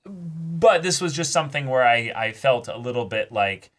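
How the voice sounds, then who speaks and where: A man's voice: medium pitch, expressive, moderate pace, a bit bright. A man in a casual discussion as a reaction show host, reflective and hesitant as he searches for words. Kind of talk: casual discussion of an anime episode.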